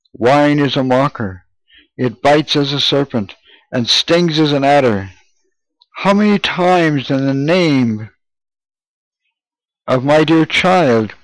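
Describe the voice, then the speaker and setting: A man's voice: on the high side, no echo, rough recording. A man reading aloud as a grieving drunken mother, pleading in old-fashioned speech. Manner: wailing, pleading, archaic speech. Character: grieving drunken mother, anguished, self-accusing.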